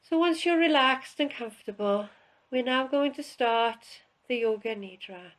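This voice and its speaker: A woman instructor guiding a relaxation session in a calm, unhurried, instructional way with pauses. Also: a little high, poor audio. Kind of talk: guided relaxation, spoken instruction.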